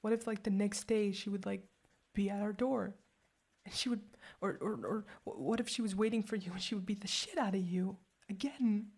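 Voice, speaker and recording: A woman's voice: medium pitch, steady tone, moderate pace, a little mellow, slightly rough. A young woman playing a protective girlfriend who is furious and hurt, mostly whispering with stumbling, intense outbursts in an ASMR roleplay. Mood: hurt, loving.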